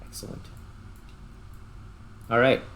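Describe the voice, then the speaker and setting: A man giving casual gameplay commentary, thinking aloud in short, halting remarks. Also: a bit bright, a little hiss or hum. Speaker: gaming host.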